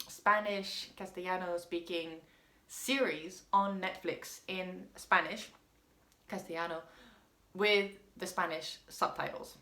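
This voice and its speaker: A woman's voice: lowish voice, fairly even intonation, slow, bright, forceful tone. A woman whose first language is French, telling a casual personal story in a rambling, chatty way with frequent ums. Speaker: vlogger. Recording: roomy echo.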